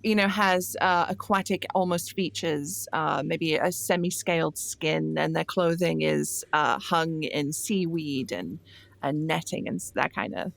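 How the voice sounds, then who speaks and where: A woman's voice: low-pitched, measured pace, vocal fry. A woman playing a tabletop roleplaying game, casually narrating her character's actions in hesitant, improvised phrases.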